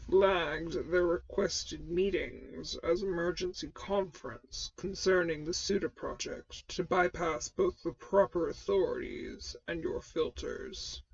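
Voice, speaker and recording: A woman voicing a menacing, formal armored male warlord in an audio fan fiction, with a gravelly, vocoder-filtered growl. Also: on the low side, fairly even intonation, slow pace, hardly any pauses, dry recording, highs cut off. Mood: sarcastic anger. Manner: formal, clipped, sarcastic. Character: menacing, stern armored male Sith lord, protective mentor.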